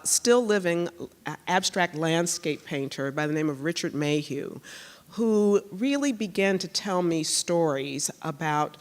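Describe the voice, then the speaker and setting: A woman speaks as a panel guest in a museum auditorium. She is measured and thoughtful, pausing with the odd filler. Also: low voice, in a large hall. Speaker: art collector, panel guest. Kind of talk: public onstage conversation.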